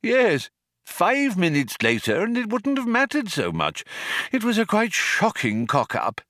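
A man acts out an elderly alien craftsman from an audiobook, genial and a little vague. His pitch swings widely as he explains things with mild, courteous understatement, recorded close and dry. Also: wildly swooping pitch, unhurried, pushed and bright, no echo, exaggerated voice. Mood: mildly put out. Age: older. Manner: polite, mild, cheerfully understated. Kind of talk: audiobook character voice.

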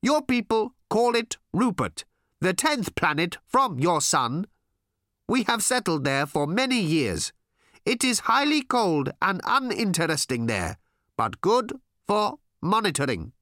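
A storyteller voicing a naive, earnest space alien who speaks in stilted, literal, drawn-out phrases. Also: medium pitch, big pitch swings, fairly bright tone, no room sound, clean studio sound, pitched above the performer's own voice. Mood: matter-of-fact.

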